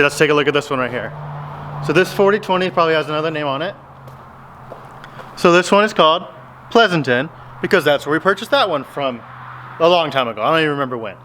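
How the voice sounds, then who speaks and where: A man's voice: fairly high pitch, fairly bright tone, a little hiss or hum. A man in his thirties from Texas, casually talking to his phone camera in a big open metal barn, explaining things in an easygoing, rambling way. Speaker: farmer vlogger. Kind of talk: casual vlog talk to camera. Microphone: handheld phone at arm's length.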